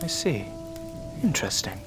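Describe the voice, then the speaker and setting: A man's voice: lowish voice, big pitch swings, moderate pace, music in the background. A man playing a brooding, analytical time-travelling alien in an audio drama, explaining things in a formal, commanding way. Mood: measured, explaining.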